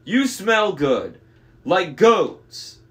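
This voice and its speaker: Man acting a monstrous Cyclops, storybook villain, loud, strained high, bellowing, gruff, impatient, dim. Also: very animated, extremely slow, heavily acted. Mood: demanding, hungry. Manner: bellowing, demanding. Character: huge gruff impatient male Cyclops monster, gullible and hungry.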